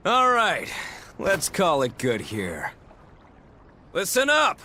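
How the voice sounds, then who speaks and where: A man's voice: high voice, wildly swooping pitch, slow pace, full character voice. Cocky swordsman villain for fantasy game: theatrical, widely swooping delivery, noisy background ambience. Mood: exhilarated, taunting. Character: cocky battle-hungry swordsman villain, relishing a vicious fight. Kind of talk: English-dubbed fantasy game acting.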